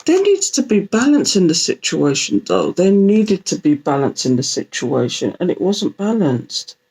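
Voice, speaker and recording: A woman with a British accent and a croaky, groggy morning voice, chatting playfully as she reads oracle cards for viewers. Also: lowish voice, very animated, normal speed, mellow, rough recording. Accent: British, London Jamaican slang. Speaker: tarot reader. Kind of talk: casual oracle card reading to camera.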